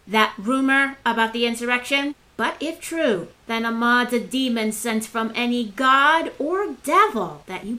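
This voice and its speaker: An actress as a brisk, dry, high-voiced assistant. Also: female voice, pushed and bright. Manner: brisk, dry, self-possessed. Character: Marigold, sharp, unflappable, candid female assistant to a ruler. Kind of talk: theatrical audio drama acting.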